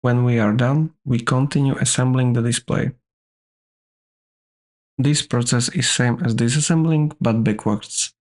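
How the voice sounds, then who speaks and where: A man narrates a how-to repair guide. His delivery is instructional, laid out step by step. His voice sits at an ordinary middle pitch for a man. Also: steady tone, measured pace, a little mellow.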